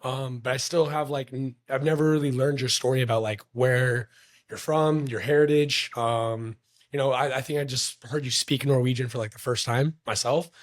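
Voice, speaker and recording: A man's voice: mid-range voice, fairly even intonation, moderate pace. A young man hosting a casual interview, talking loosely with many fillers and restarts. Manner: rambling, lots of fillers and restarts. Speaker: podcast host.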